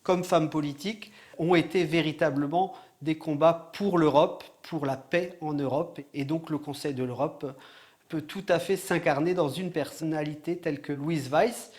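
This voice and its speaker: A man, a lawyer, speaking in an earnest, slightly halting way in an interview. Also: fairly high pitch, fairly even intonation, darkish tone. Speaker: lawyer at a European institution. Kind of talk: interview answer.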